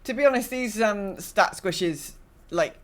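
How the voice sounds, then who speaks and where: A woman's voice: medium pitch, expressive, measured pace. A woman co-hosting a podcast, casually talking through news items. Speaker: podcast co-host.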